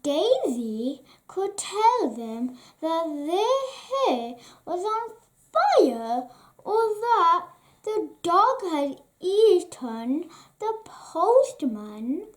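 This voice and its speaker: Very slow, high-pitched, animated storybook reader. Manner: animated, expressive, deliberate storytelling. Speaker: children's storybook reader and channel host. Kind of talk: read-aloud bedtime story narration.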